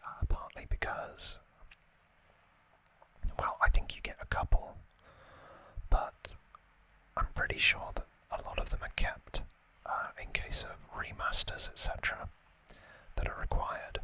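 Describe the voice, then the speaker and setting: A man whispers in an unscripted ASMR style, slow and hesitant. His breathy voice stays even and hushed, with a crisp, sibilant brightness. He pauses to think as he explains what he is showing. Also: no room sound, rough recording. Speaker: ASMR video host.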